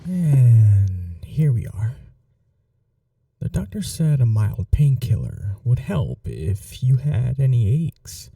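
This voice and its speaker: Man acting a very soft, dark-voiced gentleman vampire. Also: mid-range voice, big pitch swings, gravelly, far from the mic, exaggerated voice. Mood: gentle, caring. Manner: unhurried, polite, soothing, slightly formal. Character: courteous, caring gentleman vampire host, gently old-fashioned. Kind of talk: intimate ASMR roleplay.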